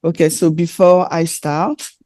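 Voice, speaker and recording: A woman with a French accent, French her first language, delivering a sermon in English with hesitant, filler-laden pauses while an interpreter follows her. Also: lowish voice, fairly even intonation, warm tone. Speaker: presenter.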